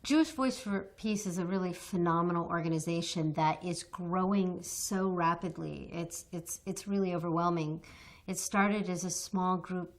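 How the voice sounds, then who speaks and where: Emphatic, passionate lower-voiced woman. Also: fairly even intonation, normal speed. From United States. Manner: passionate, emphatic, repeats words when restarting. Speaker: interview guest, activist. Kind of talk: earnest interview answers.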